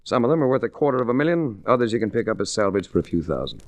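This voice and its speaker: A tough, wisecracking investigator in a vintage radio drama. His low voice swings widely in pitch with hard-boiled wryness, recorded close and dry. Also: big pitch swings, very fast, dry recording. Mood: probing. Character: wisecracking tough male adventurer-investigator narrating his own case. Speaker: lead actor and narrator. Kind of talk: 1940s radio detective acting. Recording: restored 1940s radio recording.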